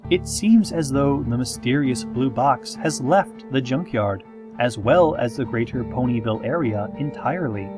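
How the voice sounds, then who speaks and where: Male actor as small-town radio announcer for a comic horror audio show; formal, unruffled, quietly ominous, deadpan, with music behind. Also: mid-range voice, natural voice. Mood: calm, ominous deadpan. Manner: deadpan, measured, formal, with drawn-out dramatic phrases. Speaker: community radio host. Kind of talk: scripted radio show narration, parody horror-comedy podcast. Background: soft guitar music.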